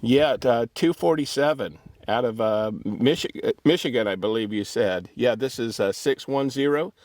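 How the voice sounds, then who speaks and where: A man sits in a Jeep in the desert, calling out on a CB radio. His voice sits at a middle pitch and sounds dry and close. He talks in an easygoing, halting way, with frequent fillers. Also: no echo. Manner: relaxed, hesitant, lots of uh's. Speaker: CB radio operator. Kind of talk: CB radio calls and chat.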